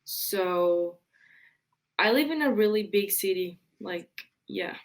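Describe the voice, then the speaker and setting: A woman's voice: mid-range voice, expressive, slow pace. A young woman from Spain, a college student, answering interview questions casually and hesitantly, with many fillers. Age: young adult.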